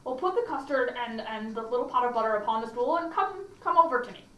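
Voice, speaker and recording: A woman's voice: fairly high pitch, animated, fast, bright, forceful tone. A wicked storybook wolf played by a woman reader coaxes and schemes, pretending to be a sweet old granny. The delivery is sly and hungry, heard at some distance in a roomy, echoing space. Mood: coaxing. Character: wicked hungry male wolf posing as a kindly grandmother.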